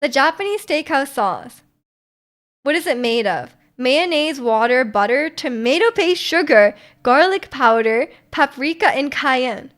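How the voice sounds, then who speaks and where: A woman's voice: high voice, animated, measured pace, a bit bright. A young woman streamer in casual chat, rambling through viewer comments with lots of fillers and restarts. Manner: rambling, many fillers and restarts, frequent laughter.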